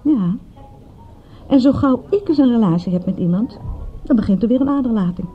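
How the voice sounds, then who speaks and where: A woman's voice: a little high, expressive, moderate pace, dull audio, close to an everyday voice. A woman playing a vulnerable, guarded suburbanite who talks in a confiding, self-deprecating way in a radio play. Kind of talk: radio play dialogue, naturalistic.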